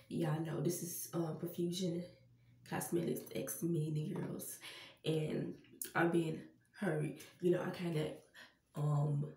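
A woman's voice: fairly low pitch, mellow. A young woman vlogging casually to her viewers with a stuffy, congested nose, chatting as she gets ready. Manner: chatty, addresses viewers as y'all. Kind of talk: casual Halloween makeup vlog. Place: small tiled bathroom. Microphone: camera on a stand a few feet away. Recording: roomy bathroom echo, distant camera mic.

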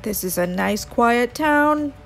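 Lady doing a bossy stern mayor man from a kids story, declaiming, slow and swoopy. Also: a little high, expressive, creaky, fairly bright tone, soft background music, heavily acted. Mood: indignant. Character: blustering, stern small-town male mayor. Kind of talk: children's storybook character reading.

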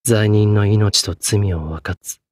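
An actor playing a solemn, courteous young executioner in a Japanese anime game, speaking in a polite, measured, grave way. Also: male voice, fairly low pitch, steady tone, darkish tone, no echo, clean studio sound. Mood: solemn, devoted. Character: solemn, courteous young executioner, a guilt-burdened French swordsman.

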